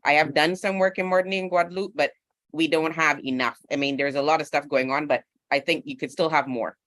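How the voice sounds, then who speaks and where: A man's voice: on the high side, steady tone, fast talker, fairly bright tone, no room sound. A man speaking off the cuff on a panel, conversational and thoughtful, often dropping in "you know". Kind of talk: unscripted panel discussion.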